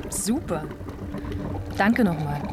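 A woman's voice: on the low side, expressive, slow pace, background noise. A woman playing a bold, curious, flirtatious married woman in a naturalistic radio drama, speaking directly. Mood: teasing. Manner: direct, inquisitive.

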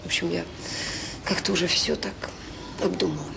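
Middle-aged woman, creaky voice, restless, insistent, self-persuading, Soviet radio drama, noisy old recording. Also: lowish voice, steady tone, a little fast. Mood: wistful, resolved.

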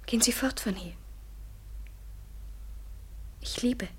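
An actress in a German radio play as a young woman consumed by desperate love, speaking slowly and intensely in a breathy near-whisper. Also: on the high side, a little hiss or hum. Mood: feverish, pleading. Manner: slow, halting, intense, poetic delivery. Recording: 1970s radio drama studio recording.

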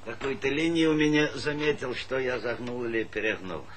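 A man's voice: medium pitch, animated, fairly quick, a bit bright, close to an everyday voice. A man acting a wry, authoritative collective farm chairman in a Soviet stage comedy, teasing one moment and commanding the next. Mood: wry, businesslike. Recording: noisy old theatre recording.

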